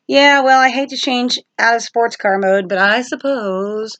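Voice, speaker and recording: A woman's voice: on the high side, fairly even intonation, unhurried, fairly bright tone, dry recording. Woman voicing a breezy, cocky teenage sun god. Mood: breezy, casual.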